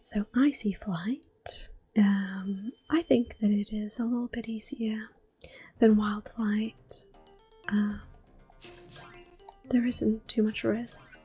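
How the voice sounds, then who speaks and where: Woman, ASMR game host: soft-spoken to whispered, slow and gentle, close mic, music behind. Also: mid-range voice, fairly even intonation. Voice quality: soft, breathy, often whispered. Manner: gentle, unhurried. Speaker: gameplay host. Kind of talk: ASMR gaming commentary.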